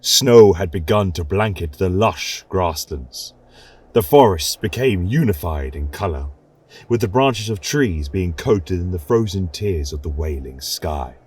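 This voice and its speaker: A man telling a fantasy chronicle like a lecturer, in a low, husky voice. He speaks slowly, lingering theatrically on ominous words, and his pitch swoops widely. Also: a little mellow. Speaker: storyteller posing as a history lecturer. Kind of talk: dramatic fantasy storytelling.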